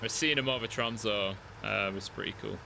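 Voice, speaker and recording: A man chatting casually with his live-stream chat while playing a driving game, relaxed and talkative. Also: fairly low pitch, normal speed, vocal fry, background noise.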